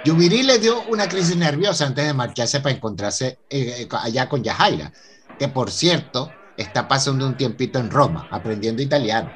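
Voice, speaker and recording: A Venezuelan actor playing a polite, earnest professor in a radio soap opera, explaining things with hesitant stammers. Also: male voice, mid-range voice, animated, moderate pace, some chatter behind, close to an everyday voice. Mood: informative, hesitant. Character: polite, earnest university professor and new son-in-law.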